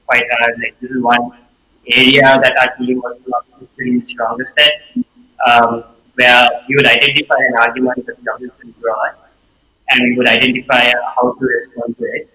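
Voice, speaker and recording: A male student debater from Sri Lanka answering steadily and deliberately. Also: medium pitch, little pitch movement, pushed and bright, low-quality recording. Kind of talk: reflective interview answer.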